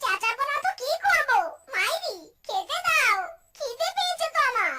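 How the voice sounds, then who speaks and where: An actor voicing a whiny, excitable, henpecked husband in a children's fox cartoon, full of exclamations. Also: very high-pitched, moderate pace. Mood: whining. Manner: exclamatory, with a repeated catchphrase. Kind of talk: exaggerated children's cartoon voice acting. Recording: very echoey, distant, music underneath.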